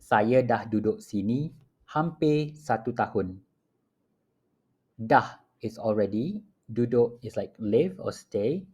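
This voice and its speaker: A man leads a home language lesson at an unhurried crawl, pronouncing every phrase deliberately and repeating it. His voice sits at an ordinary middle pitch, calm and steady. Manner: repeats each phrase slowly and clearly for learners. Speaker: language teacher. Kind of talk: slow, repetitive phrase-by-phrase teaching. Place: home apartment.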